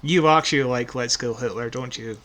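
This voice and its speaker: A man's voice: medium pitch, a little fast, a bit bright. A man co-hosting a podcast, chatting casually and recapping plots informally with a dry, teasing manner. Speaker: podcast co-host.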